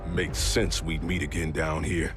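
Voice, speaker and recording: An actor playing a tough, bitter fighter bent on revenge in a video game, blunt and threatening in plain colloquial speech. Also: male voice, extremely low pitch, level tone, unhurried. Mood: bitter, vengeful. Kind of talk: dramatic scripted game dialogue. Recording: music behind the voice. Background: game music.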